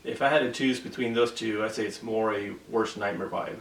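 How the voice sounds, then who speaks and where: A man's voice: lowish voice, fairly even intonation, brisk, natural voice. Man playing a timid new recruit, echoey room. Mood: uneasy. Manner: hesitant. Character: nervous, timid new male accounting recruit. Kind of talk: script table read, comedy. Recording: echoey room, distant mic, faint noise.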